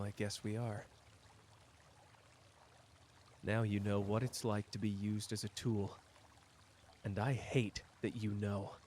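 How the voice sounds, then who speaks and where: A man playing a powerful, cocky but wounded sorcerer in an intimate audio roleplay, talking casually and teasingly straight to the listener with pauses. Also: on the low side, darkish tone, a little hiss or hum, close to an everyday voice. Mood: tender, subdued.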